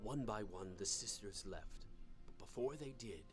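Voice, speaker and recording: Gentle fairy tale narrator, solemn n measured, cartoon storybook, music under it. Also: male voice, lowish voice, lively intonation. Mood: measured, tender.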